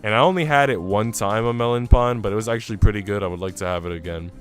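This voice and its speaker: A man's voice: fairly low pitch, quick pace. A man streaming, reading a visual novel aloud with casual, chatty asides. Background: music.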